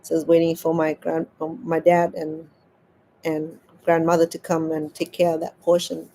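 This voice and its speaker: Woman telling a story haltingly. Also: low-pitched, completely flat delivery, dark tone, slight background noise, low-quality recording. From Cambodia. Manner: halting, repeats words as she searches for them. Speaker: crochet vlogger. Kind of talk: casual storytelling while crafting.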